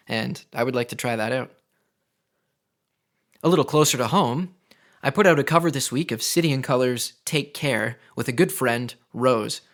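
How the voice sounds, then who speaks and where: A man's voice: medium pitch, fairly bright tone. Man giving a personal podcast update. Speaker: podcast segment host, musician and music teacher.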